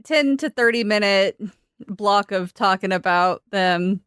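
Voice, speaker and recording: A woman's voice: medium pitch, a little slow, a bit bright, clear, resonant tone, dry recording, clean studio sound. A woman co-hosting a podcast in casual, conversational chat. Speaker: podcast co-host.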